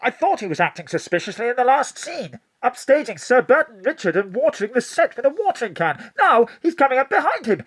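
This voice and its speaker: A woman playing an excitable sidekick. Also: on the high side, fairly quick, few pauses, breathy edge. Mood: urgent. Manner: urgent, breathless reporting. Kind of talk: radio play acting.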